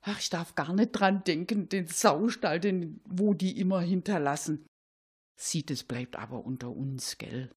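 A woman's voice: lowish voice, unhurried, darkish tone, slightly rough. A woman voicing a grumbling, gossipy studio cleaning woman with a southern German, Swabian accent in a radio comedy. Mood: grumbling, confiding. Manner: colloquial, gossipy, confiding.

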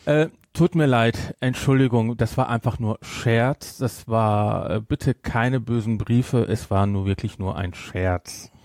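A man's voice: medium pitch, slow, few pauses, a little mellow, no room sound, clean studio sound. A middle-aged man chatting casually and jokingly as a podcast co-host, rambling as he goes. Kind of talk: casual unscripted podcast chat.

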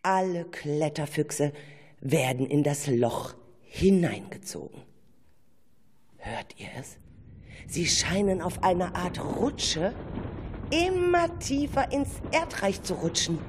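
Radio drama narrator, really animated storytelling, takes it slow, bit of noise n ambience behind. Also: medium pitch. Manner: dramatic storytelling, addresses listeners. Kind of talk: scripted radio play narration. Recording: faint background noise.

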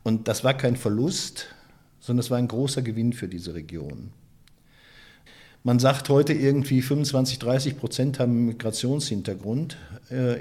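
A man from the Ruhr area, giving a reflective interview and often pausing with hesitations and restarts. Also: mid-range voice, warm tone. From Ruhr area, Germany. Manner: thoughtful, many hesitations and restarts. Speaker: interviewee, photographer and author.

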